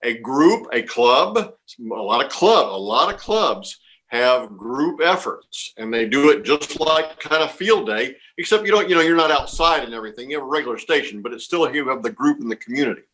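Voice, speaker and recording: A man's voice: medium pitch, expressive, normal speed, a bit bright, rough recording. A man being interviewed as a contest organizer, explaining things informally and reassuringly, often repeating words and phrases.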